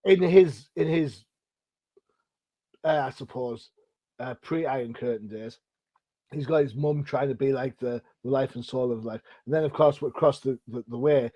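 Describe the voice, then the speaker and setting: A man's voice: medium pitch, normal speed, warm tone, no room sound, dull audio. A middle-aged man from Britain hosting an interview, chatty and self-deprecating, with rambling questions. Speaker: podcast host. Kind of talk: casual interview chat.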